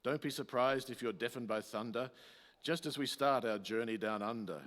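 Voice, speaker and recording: A man narrates a rhymed radio play as its announcer. His mid-pitched voice is declamatory and theatrical, carried by the long reverb of a hall. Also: normal speed, fairly bright tone. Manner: declamatory, storytelling. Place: community hall, live performance.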